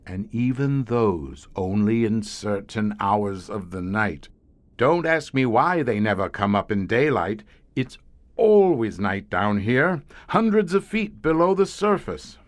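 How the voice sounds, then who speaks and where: Slow, low-voiced grim monster hunter, played by a man. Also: expressive. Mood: grave, confiding. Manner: ornate, deliberate, dramatic storytelling with wry asides. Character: grim, haunted male police monster-hunter scientist in horror tale. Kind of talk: audio horror drama acting.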